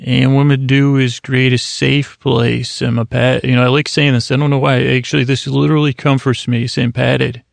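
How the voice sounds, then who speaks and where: A man hosting a sleep podcast, rambling in a meandering, soft-spoken bedtime monologue that keeps interrupting itself. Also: mid-range voice, level tone, normal speed, gravelly, few pauses, no room sound.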